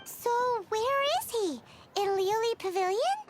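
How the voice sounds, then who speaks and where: A woman voicing a small, childlike fairy companion from a game, squeaky, bossy and excitable. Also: unhurried, background noise, full character voice. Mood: curious. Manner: speaks of self in third person, bossy, excitable. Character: small floating childlike fairy companion, chirpy, food-loving.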